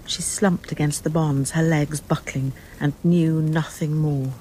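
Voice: mid-range voice, steady tone, a little slow, dark tone, a little hiss or hum, low-quality recording. A narrator telling a radio drama in scripted, dramatic storytelling style.

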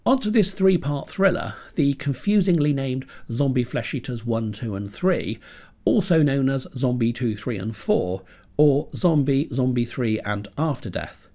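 A man from Britain hosts his horror podcast from his computer desk at home, with a stuffy nose from a cold. His pitch rises and falls a lot. His scripted delivery is dry and self-deprecating. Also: animated, measured pace, warm tone. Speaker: podcast host.

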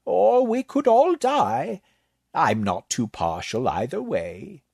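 Man reads unbothered alien librarian, high and odd-toned, bone-dry delivery. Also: slow pace, vocal fry, breathy edge, dry recording, heavily acted. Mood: deadpan. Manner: dry, detached, deadpan. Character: unflappable alien male librarian in a borrowed body.

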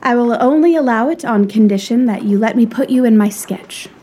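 A woman's voice: a little high, a little fast, mellow, breathy edge. A woman playing a proud, frank young lady artist in a period audio drama, with formal, old-fashioned phrasing. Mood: poised, brisk.

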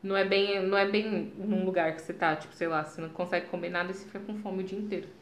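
A woman's voice: medium pitch, fairly even intonation, very fast, a little hiss or hum. A young woman, co-hosting a casual podcast and telling anecdotes, acting out the voices of people she quotes. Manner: storytelling, acts out quoted voices. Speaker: podcast co-host. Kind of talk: casual chat. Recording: roomy room sound.

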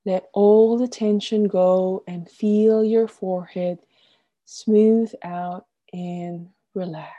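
Female meditation guide, extremely soft dark tone, unhurried delivery, soothing and gentle, phrases trailing off softly. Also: mid-range voice, fairly even intonation, lo-fi sound. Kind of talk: guided relaxation meditation, scripted instruction.

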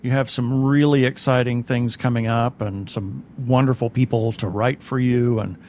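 Casual male co-host, faint rumbling noise. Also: steady tone, normal speed, a little mellow. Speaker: webcast co-host. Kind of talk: casual chatty interviewing.

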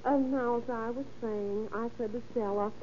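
A woman's voice: a little high, steady tone, moderate pace, warm tone, lightly acted. An actress playing a chatty, nosy young shopgirl who gushes and rambles in 1930s radio style. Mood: gossipy, eager. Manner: gushing, gossipy, rambling. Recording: muffled, hissy old radio recording.

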